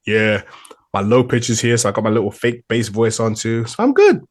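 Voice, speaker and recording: A middle-aged woman with a very deep voice co-hosts a podcast, her voice just back after an illness. She is bubbly and playful, and her pitch leaps high and drops low all the time. She laughs often between lines. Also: very animated, a little fast, darkish tone. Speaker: podcast co-host. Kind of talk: casual chat.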